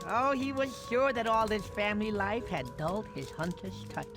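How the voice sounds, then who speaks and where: A man voicing a goofy, affectionate sloth uncle who rambles and stammers theatrically as he narrates in an animated game. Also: sits high, normal speed. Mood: doting, theatrical. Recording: music behind the voice.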